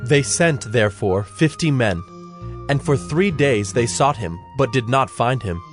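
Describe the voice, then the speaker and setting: A man's voice: mid-range voice, animated, a little slow. A man narrating scripture from a script in a solemn storytelling manner. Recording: background music under the voice.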